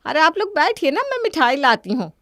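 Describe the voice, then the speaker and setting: A woman's voice: high-pitched, sing-song, a little fast, a bit bright, dry recording, studio quality, voice pushed up. A woman voicing a hospitable middle-aged mother-in-law in a cartoon story, coaxing and placating. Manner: coaxing, apologetic. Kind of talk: Hindi cartoon story dialogue.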